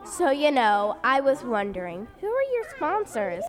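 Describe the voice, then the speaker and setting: A woman's voice: high voice, huge pitch swings, unhurried. A woman hosting a mock interview show, upbeat and scripted. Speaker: host.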